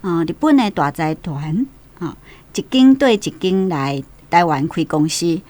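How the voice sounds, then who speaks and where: Female radio commentator, Taiwanese accent, lowish pitch, orderly explanatory delivery with conversational touches. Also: lively intonation, a little slow, a little mellow. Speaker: guest commentator on a radio programme.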